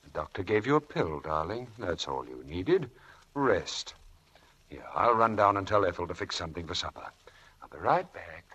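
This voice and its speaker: An actor playing a smooth, charming, faintly sinister husband in a vintage radio drama, breezy and coaxing. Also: male voice, low voice, big pitch swings, moderate pace, sharp tone, exaggerated voice. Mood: soothing. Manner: breezy, coaxing, fond of endearments. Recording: noisy old radio broadcast recording.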